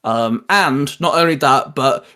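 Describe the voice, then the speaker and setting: A man's voice: medium pitch, takes it slowly, sharp tone. A man hosting a video in a casual, chatty way, explaining things in a rambling manner. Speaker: YouTube host discussing anime. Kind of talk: casual chat.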